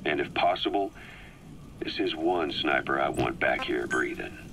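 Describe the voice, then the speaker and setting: Game role: a watchful, seasoned military intelligence man. Deep, forceful, measured delivery over faint noise. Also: gravelly, full character voice. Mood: grave, analytical. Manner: measured, deliberate, dramatic pauses. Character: shrewd, seasoned male military intelligence officer, cool and watchful. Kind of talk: scripted game audio briefing.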